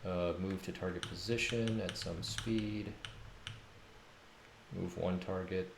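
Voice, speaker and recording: A man's voice: low voice, steady tone, slow, vocal fry. A man talking casually to himself in short muttered fragments as he works at coding. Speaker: game developer streaming his coding. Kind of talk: thinking aloud while coding, casual. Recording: noisy, roomy home recording with keyboard clatter. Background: computer keyboard typing and clicks.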